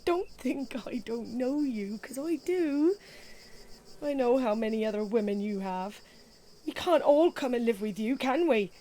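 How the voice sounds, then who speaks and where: A woman's voice: fairly high pitch, lively intonation, unhurried, warm tone. A woman playing an anxious, jealous older wife in an audio drama, fretful and pleading. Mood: jealous, apologetic.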